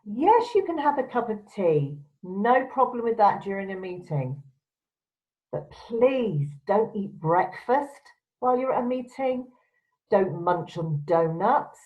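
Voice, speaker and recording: A woman's voice: mid-range voice, big pitch swings, slow pace. A woman presenter giving instructional advice to camera in a firm, direct, emphatic way. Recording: dull, lo-fi sound.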